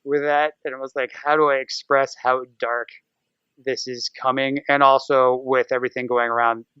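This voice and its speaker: A man's voice: mid-range voice, level tone, normal speed, sharp tone, clear, resonant tone, no echo, muffled recording. An American man chatting casually as a podcast guest, rambling and acting out his own thoughts as he goes. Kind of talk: casual podcast interview chat.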